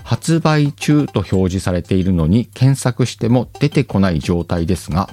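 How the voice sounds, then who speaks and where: A man hosting his own show, reading listener letters in a relaxed, chatty way, laughing often and stumbling over words. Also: low voice, moderate pace, soft background music. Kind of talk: casual solo chat.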